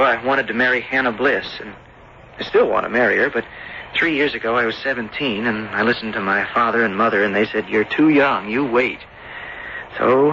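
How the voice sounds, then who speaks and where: An actor playing an earnest, lovesick young seafarer back home, speaking haltingly in radio drama style. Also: male voice, medium pitch, gravelly, natural voice. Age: young adult. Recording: dull, noisy old radio broadcast.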